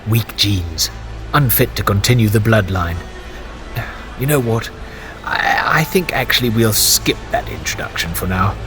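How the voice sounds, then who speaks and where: An actor playing a nervous, quick-witted court chamberlain who dodges awkward people with wry quips. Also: male voice, lowish voice, animated, fairly bright tone, faint music behind. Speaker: chamberlain, guide. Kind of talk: audio drama acting.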